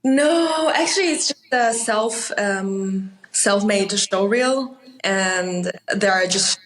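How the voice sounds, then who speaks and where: A woman's voice: mid-range voice, slow, fairly bright tone, rough recording. Austrian woman, native Mandarin speaker, chatting casually. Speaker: interview guest, actress. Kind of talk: casual interview chat.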